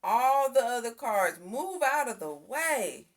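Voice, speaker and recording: A woman reading a children's picture book aloud as an expressive storyteller. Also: a little high, slow pace. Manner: expressive, acts out sound effects and exclamations. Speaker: storybook reader.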